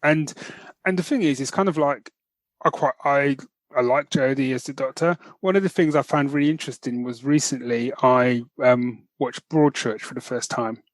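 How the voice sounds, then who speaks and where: A man's voice: medium pitch, normal speed, no room sound. A man co-hosting a podcast, chatting casually and colloquially about geek news, often tagging his points with questions. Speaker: podcast co-host.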